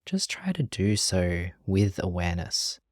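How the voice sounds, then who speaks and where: A meditation teacher leading a guided practice in a gentle, calm, measured way with long pauses. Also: low-pitched, no echo, clean studio sound.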